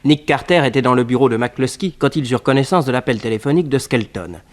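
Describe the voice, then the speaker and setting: A man's voice: mid-range voice, expressive, fast, lightly acted. A man delivering scripted narration for a 1960s French detective radio serial.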